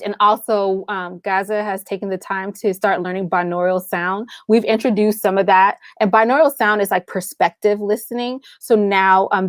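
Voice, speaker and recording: A woman's voice: medium pitch, dry recording. A woman guest speaking casually and enthusiastically about her creative work, explaining things with everyday examples. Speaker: guest, audio drama writer and producer.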